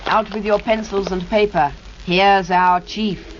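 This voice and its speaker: A woman presenting a children's radio show in a brisk, teacherly manner. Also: medium pitch, fairly even intonation, lo-fi sound. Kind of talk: scripted children's radio banter. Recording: crackly old 1930s radio recording.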